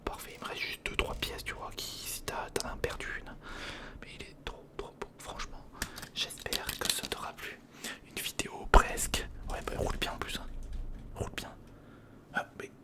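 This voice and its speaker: A man whispering breathily in a casual ASMR commentary, murmuring step by step to the viewer as if to a friend. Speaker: ASMR video creator, host. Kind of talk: ASMR, casual running commentary while building a model. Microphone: close recorder microphone.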